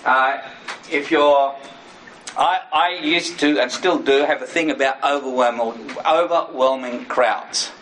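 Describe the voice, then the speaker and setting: A man's voice: medium pitch, takes it slowly, a bit bright, a little hiss or hum, poor audio. A man teaching an informal sermon, talking conversationally with personal asides and frequent checks with his listeners. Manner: personal asides and self-disclosure.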